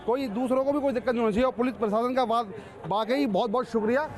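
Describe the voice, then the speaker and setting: A man's voice: extremely high pitch, fast talker. A man giving an informal statement to a reporter outdoors. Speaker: street interviewee.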